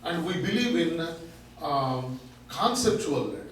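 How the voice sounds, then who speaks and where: A man giving a public talk to an audience, loud and teacherly, explaining with rhetorical questions. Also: fairly high pitch, big pitch swings, measured pace. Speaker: guest speaker, learning center founder. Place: large echoey room. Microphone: microphone at a distance. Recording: echoey room from far off, faint noise.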